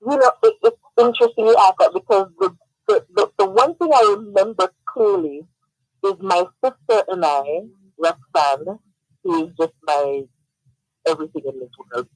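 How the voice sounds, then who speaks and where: A man from Jamaica, a guest in a casual radio interview, who talks animatedly, laughs often and repeats words when excited. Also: high voice, normal speed, dry recording, soft background music, poor audio.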